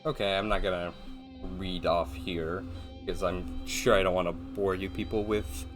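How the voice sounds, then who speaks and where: A man giving casual, rambling gameplay commentary in a flat, monotone voice, with a self-deprecating manner. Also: low voice, unhurried, music in the background. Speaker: gaming video host.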